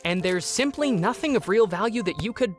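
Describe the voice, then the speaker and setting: A man's voice: high-pitched, expressive, fairly quick, faint music behind. A man playing an anxious, bookish young prince in a dramatic fandub, with a hesitant, overwrought delivery. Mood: pensive. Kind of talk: dramatic fandub acting with inner-monologue narration.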